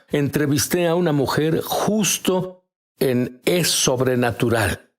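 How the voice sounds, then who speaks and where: An older man preaching and telling testimonies slowly and emphatically, with sudden high exclamations. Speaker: television host and preacher.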